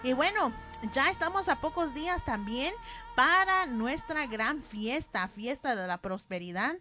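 High-voiced woman co-hosting church radio. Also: expressive, unhurried. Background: music.

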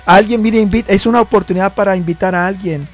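A man's voice: sits high, fairly even intonation, fast talker. A male pastor talking on a church program, earnest and building his points with repeated words.

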